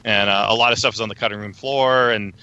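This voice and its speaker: Bright-voiced man chatting about films. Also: lowish voice, fast talker, smooth, dull audio. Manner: relaxed, conversational, with frequent fillers. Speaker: podcast co-host. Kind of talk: casual film discussion.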